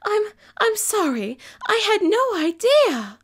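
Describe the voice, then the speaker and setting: An elegant, refined unicorn mare, as a woman puts her on in a storybook reading. Her voice sits well above the narration, bright and sharp, with halting, shaken phrasing. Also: high voice, huge pitch swings, heavily acted.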